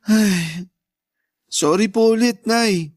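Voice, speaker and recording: A man's voice: sits high, takes it slowly, dry recording, clean studio sound. A man playing a meek, sulky young son in a Tagalog radio drama, plaintive and apologetic. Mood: hurt, apologetic.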